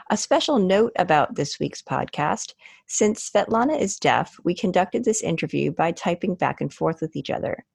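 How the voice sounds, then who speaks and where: A woman hosting a podcast, reading a scripted introduction and asking measured interview questions. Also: low-pitched, creaky, slightly rough. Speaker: podcast host.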